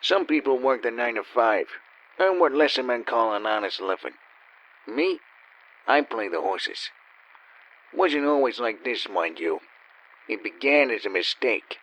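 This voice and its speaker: An actor playing a cynical, down-and-out gambler narrating a noir radio play in a wry, world-weary way. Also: male voice, medium pitch, sing-song, measured pace, long pauses, fairly bright tone, full character voice. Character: down-and-out cynical male gambler and con man. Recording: dull, slightly lo-fi.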